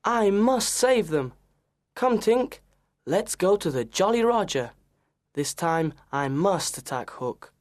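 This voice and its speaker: A woman's voice: on the low side, lively intonation, slow, a little mellow, dry recording, studio quality. A woman playing a brave, earnest young boy adventurer in a children's audio story, speaking resolutely. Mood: determined.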